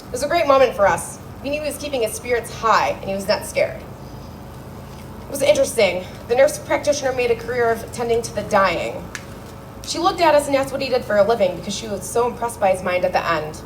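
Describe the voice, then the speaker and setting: A young woman giving a memorial speech for her father into a handheld mic, telling the story with warmth and dry humor. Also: fairly high pitch, lively intonation, fast talker, background noise. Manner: heartfelt, wry storytelling. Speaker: daughter giving a eulogy speech. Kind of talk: memorial speech read aloud. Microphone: handheld microphone.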